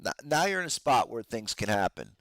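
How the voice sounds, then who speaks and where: A man's voice: medium pitch, big pitch swings, brisk, fairly bright tone, no echo. A man hosting a podcast with casual live stock market commentary, talking in informal slang, his voice strained and giving out. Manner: informal trader slang, addresses listeners as guys. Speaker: host of a trading podcast.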